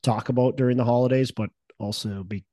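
A man's voice: mid-range voice, fairly even intonation, brisk, mellow, no echo. Guy hosting a podcast with a stuffy nose and cough, rambly, lots of ums, dry mic. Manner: rambling, with many fillers and restarts. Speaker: podcast host. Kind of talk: casual conversational chat.

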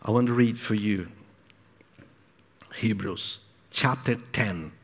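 A man's voice: slow, fairly bright tone. A man preaching a sermon in accented English, a non-native speaker who acts out voices dramatically. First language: not English. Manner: dramatic, acts out the devil's voice in low tones. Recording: long hall reverb.

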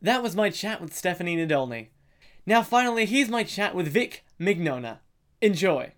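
A man hosting an interview show, asking questions in a brisk, polite way. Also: high-pitched, big pitch swings, fairly bright tone. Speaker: podcast host.

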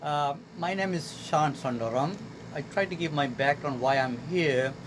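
A man in his sixties from Sri Lanka, speaking earnest, halting English as a guest in a casual radio interview. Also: on the high side, very noisy. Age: 60s. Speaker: guest artist.

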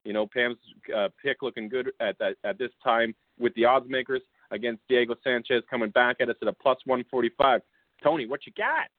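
A man's voice: medium pitch, fairly quick, no room sound. A male host running casual fight picks, laid-back and chuckling, with lots of fillers. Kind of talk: casual fight-pick chat. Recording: dry tinny phone-line audio.

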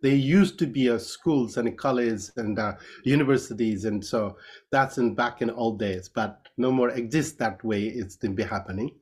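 Nepali guy as podcast guest, medium pitch, nepali accent, explains stuff in halting repetitive english. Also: a little mellow. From Nepal, Kathmandu Valley. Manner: halting, repetitive phrasing in non-native English. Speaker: interview guest, Buddhist priest and dance master. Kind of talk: conversational explanation.

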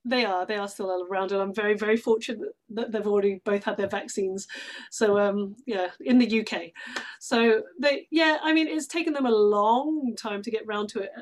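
A woman's voice: medium pitch, moderate pace. A British woman talking reflectively in a conversational interview as a guest actress, with an easy laugh. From London, UK. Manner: laughs easily while talking.

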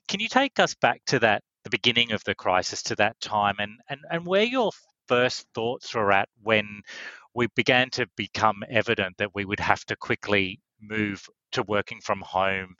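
Bright-toned corporate podcast host. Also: male voice, fairly low pitch, dry recording, highs cut off. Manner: polished, scripted intro, upbeat. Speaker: podcast host, marketing manager.

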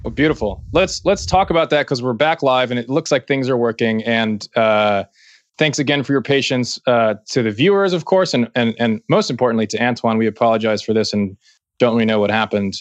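A man's voice: mid-range voice, a little fast, no room sound. A man hosting a music interview podcast, chatty and joking, often restarting his sentences. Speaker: podcast host. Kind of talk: casual interview chat.

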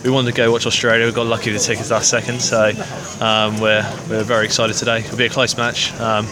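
A man chatting casually as a rugby fan in a street interview, rambling and halting. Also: lowish voice, monotone. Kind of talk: casual vox pop chat. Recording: outdoor crowd hubbub.

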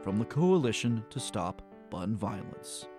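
An actor playing a formal but easily rattled radio announcer in a comedic radio play. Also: male voice, lowish voice, sing-song, measured pace, over loud music. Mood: formal, promotional.

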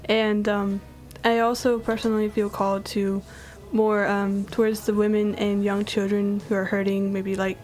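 Flat-toned young woman, many fillers. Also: mid-range voice. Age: teens. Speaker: college student guest. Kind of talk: casual chat.

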